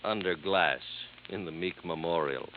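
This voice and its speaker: A fussy, cigar-smoking insurance man in a vintage radio detective drama. He is low-pitched and sardonic, explaining things emphatically and repeating himself. The recording is muffled and noisy, like an old broadcast. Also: unhurried. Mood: explaining, intent.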